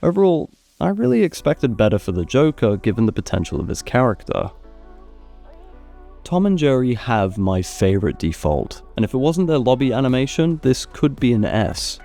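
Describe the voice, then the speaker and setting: A man hosting a gaming video, narrating a review in an expressive, opinionated way into a close mic. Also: mid-range voice, moderate pace, gravelly. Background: background music.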